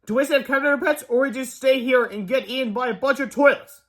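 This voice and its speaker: Quick-thinking storybook schoolboy, read by a man: urgent, exclamatory, pitched well up. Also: a bit bright.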